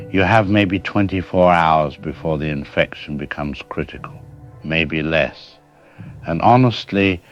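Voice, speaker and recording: A grave doctor warning radio listeners in an audio drama. His voice sits low, frank and urgent. The sound is slightly lo-fi, with music faintly underneath. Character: grave, worried male doctor warning the public. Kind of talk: radio news interview acting.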